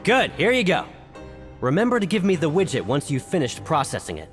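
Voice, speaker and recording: A man's voice: fairly high pitch, huge pitch swings, a little fast, over music. A man playing a brusque, impatient prison factory guard barking orders in a video game, with a long reverb. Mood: bossy. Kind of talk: animated video game voice acting.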